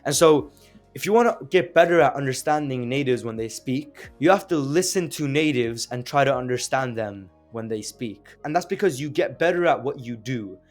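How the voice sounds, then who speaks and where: A young man whose first language is Azeri talks through a language lesson in an easy, conversational way, dropping in fillers and asking rhetorical questions. His voice sits at an ordinary middle pitch. Faint music plays underneath. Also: lively intonation, moderate pace. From Azerbaijan. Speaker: language teacher. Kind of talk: casual explanatory video lesson.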